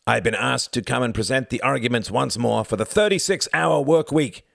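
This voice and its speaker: A man voicing a burly male trade union speaker with a raspy voice. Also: medium pitch, moderate pace, fairly bright tone, no room sound, studio quality, pitched above the performer's own voice.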